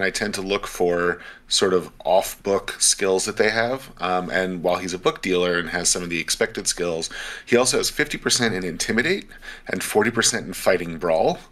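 A man's voice: low voice, moderate pace, a bit bright. A man, a guest player, chatting casually about games on a video call from a room at home. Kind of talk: casual chat.

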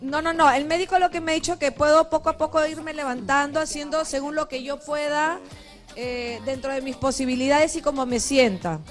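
High-voiced chatty woman outdoors. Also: a little fast, soft background music. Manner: lively, chatty storytelling. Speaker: TV show host on a live remote link. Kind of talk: casual live TV chat. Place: outdoors on a leafy street. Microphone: handheld mic.

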